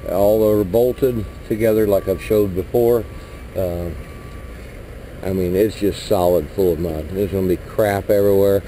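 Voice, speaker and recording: Rambling trucker man, low voice. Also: fairly even intonation, measured pace, dark tone, a little hiss or hum, rough recording. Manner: rambling, plain-spoken, mild cursing. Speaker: truck driver. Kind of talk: casual walkaround vlog. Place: outdoors at a truck lot beside a flatbed trailer. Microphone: body-worn action camera.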